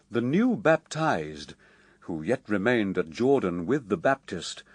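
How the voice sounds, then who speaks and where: A man's voice: fairly low pitch, slightly rough, dry recording, full character voice. A man playing a solemn poet who narrates a sacred epic in grave, declamatory verse for radio drama.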